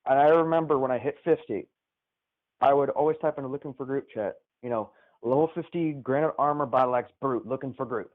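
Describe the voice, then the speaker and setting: A tinny, narrow phone-like line carries a man of medium pitch. He is a caller on a gaming stream, talking casually and looking back on his play. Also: a little fast. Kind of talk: casual chat, reminiscing about gaming. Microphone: live call into the stream. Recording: tinny, band-limited phone-like call.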